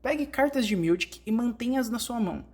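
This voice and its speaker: A woman's voice: a little high, expressive, a little fast, a little mellow. Brazilian woman narrating a tutorial. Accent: Brazilian Portuguese. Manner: explanatory, addresses the listener directly. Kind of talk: scripted instructional narration, fan dub.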